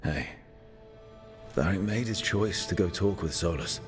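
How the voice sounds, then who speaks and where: A blunt young hero who speaks in a matter-of-fact way in a fantasy game. His voice sits low. Clear music plays behind him. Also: unhurried. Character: blunt young man hero, team leader. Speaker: player character. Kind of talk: video game voice acting.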